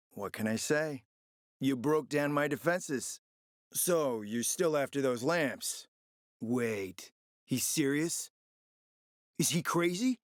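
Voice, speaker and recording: A man playing a suave, flirtatious, scheming spymaster in a video game, with a smooth, theatrical, sardonic and teasing manner. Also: mid-range voice, no room sound, clean studio sound. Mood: sly, persuasive. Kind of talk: video game character acting.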